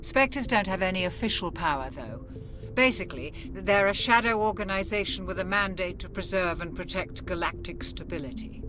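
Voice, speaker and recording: A woman acting a cautious, sensible military doctor in a video game, speaking in a measured, reproving, matter-of-fact way. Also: on the low side, lively intonation, close to an everyday voice. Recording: loud music behind the voice. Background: music.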